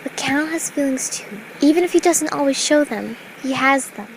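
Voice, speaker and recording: A woman voicing a gentle, patient, motherly young female cartoon pony in an audio drama, soft-hearted and coaxing. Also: sits high, normal speed, background noise. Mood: gently coaching. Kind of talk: scripted audio drama character voice.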